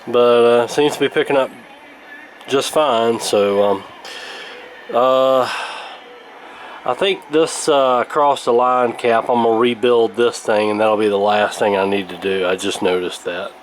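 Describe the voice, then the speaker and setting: A man giving a casual hands-on repair tutorial in a halting, unscripted, explanatory way. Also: mid-range voice, steady tone, low-quality recording. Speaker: radio repair video host. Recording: clear background noise.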